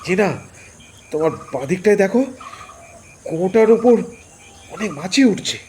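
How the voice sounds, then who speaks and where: উঁচু, ওঠানামা করা গলায় উদ্বিগ্ন পুরুষ অভিনেতার কণ্ঠে. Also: male voice, high-pitched, lively intonation, measured pace, darkish tone, a little hiss or hum. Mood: disgusted. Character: nervous, hesitant man on the run. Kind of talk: Bengali audio horror story acting.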